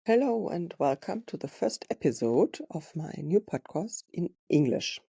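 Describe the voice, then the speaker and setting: Woman, German accent, podcast host, slow reflective talk, gravelly voice. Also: on the low side, sing-song, dark tone. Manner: slow, reflective, searching for words. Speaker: podcast host and dog trainer. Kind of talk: personal podcast monologue.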